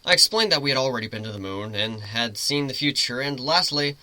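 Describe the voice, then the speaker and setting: A male amateur reader narrates a first-person story in a dramatic reading. His voice sits at a middling pitch with a very sharp, forceful brightness. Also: lively intonation, a little fast, dry recording.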